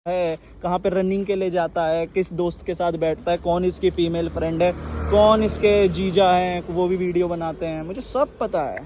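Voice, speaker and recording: A man's voice: high-pitched, fairly even intonation, moderate pace, a little mellow. A young man with a Delhi accent talking argumentatively on a phone call, insistent, repeating himself and cutting in. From Delhi, India. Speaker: social media exposé creator on a phone call. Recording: mildly noisy recorded phone call.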